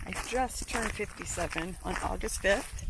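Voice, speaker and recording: A woman's voice: medium pitch, moderate pace. A woman in her fifties from Ohio, chatting casually to camera while walking her dogs on a nature trail, with many ums and restarts. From Ohio, USA. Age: 50s. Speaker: channel creator. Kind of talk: casual vlog talk to camera. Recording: outdoor recording with clear background noise.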